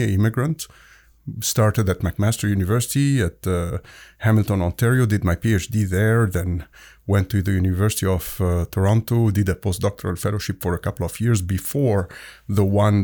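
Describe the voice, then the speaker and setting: A low, gravelly man from Egypt explains things patiently, pausing often with "uh" as he thinks. His tone is mellow and warm. The recording is dry, with no room echo. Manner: thoughtful, explanatory, with frequent "uh" pauses. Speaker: physics and chemistry professor, guest. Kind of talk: conversational podcast interview.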